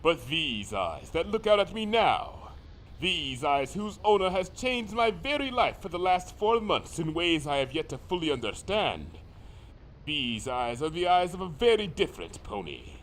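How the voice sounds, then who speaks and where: Villain creature: high male voice, wildly swooping delivery, pompous, suave, menacing, formal storybook grandeur. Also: big pitch swings, fairly bright tone, a little hiss or hum, rough recording. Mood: intense, measured. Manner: formal, theatrical, deliberate. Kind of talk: dramatic fan fiction reading.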